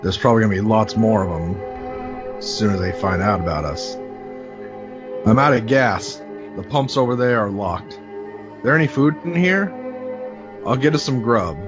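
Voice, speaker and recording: A man's voice: lowish voice, animated, normal speed, long pauses, music in the background, natural voice. Man playing a folksy, urgent truck driver, dull recording. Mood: urgent, gruff. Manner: folksy, slangy, commanding. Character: tough, urgent truck driver taking charge under siege. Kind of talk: horror audio drama acting.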